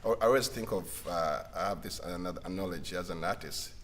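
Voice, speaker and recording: A singer-songwriter man from Rwanda with a Rwandan accent and a deep voice, talking casually in a halting way and laughing often. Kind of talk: casual livestream conversation. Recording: faint background noise.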